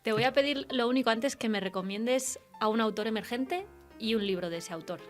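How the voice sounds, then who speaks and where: A woman's voice: fairly high pitch, fairly quick, soft background music. A woman with an accent from Spain hosting a casual radio interview. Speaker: radio host.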